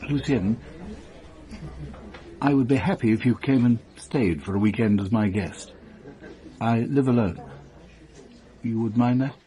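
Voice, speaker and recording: An actor playing a courteous, solitary gentleman in a British radio drama, formal and precise, with a gently instructive manner. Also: mid-range voice, measured pace, long pauses, a faint crowd, natural voice, rough recording. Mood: gently inviting.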